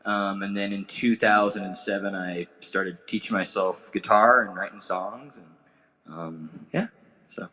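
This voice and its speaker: A man's voice: lowish voice, fairly even intonation, unhurried, bright, forceful tone, long reverb tail, rough recording. An American man in his thirties from Nebraska, a performing songwriter chatting casually between songs, easygoing, hesitant and joking. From Nebraska, United States. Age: 30s. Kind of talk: casual between-song chat. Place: small container home live session.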